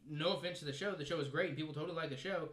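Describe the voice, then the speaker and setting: A man's voice: on the high side, racing, fairly bright tone. A man co-hosting a podcast in casual panel chat, informal and full of opinions. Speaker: podcast co-host. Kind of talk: casual panel chat about pop culture. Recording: echoey room with faint noise.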